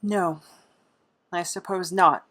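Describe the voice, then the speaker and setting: A woman's voice: lowish voice, fairly even intonation, takes it slowly, sharp tone, exaggerated voice. A woman playing an earnest, sentimental idealist in an audio drama, plaintive and questioning. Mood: distressed.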